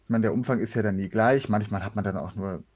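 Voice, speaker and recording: A man's voice: lowish voice, fast talker, darkish tone. A man who works as an actor and voice actor, answering interview questions casually. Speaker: guest, actor and voice actor.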